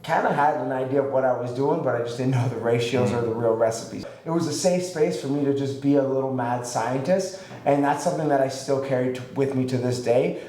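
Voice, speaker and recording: A man's voice: mid-range voice, a little fast. A man in his thirties from Canada, a chef telling his story in a casual podcast chat with lots of fillers. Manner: relaxed, rambling storytelling with many fillers. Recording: echoey room, faint ambient noise.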